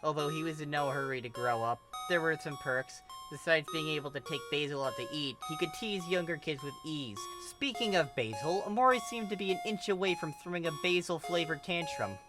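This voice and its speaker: Higher-voiced male narrator over music. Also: brisk, hardly any pauses. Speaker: narrator, fan fiction reader. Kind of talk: expressive scripted story narration.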